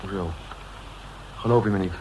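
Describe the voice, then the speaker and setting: A man's voice: low-pitched, steady tone, normal speed, darkish tone, natural voice. Man playing a cynical, evasive suspect, faintly noisy recording. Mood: defensive, evasive. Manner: colloquial, sardonic, hesitant when cornered. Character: cynical, evasive male suspect, streetwise and defensive. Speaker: actor. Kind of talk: Dutch radio drama dialogue. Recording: noisy old radio drama recording.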